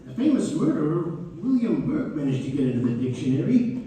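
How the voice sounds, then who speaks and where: A man's voice: a little high, very animated, brisk, dark tone. A man playing Harry Houdini as a proud, fussy young escape artist composing a letter in a theatrical read-through. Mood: proud, cheerful. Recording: distant mic in echoing hall, faint noise.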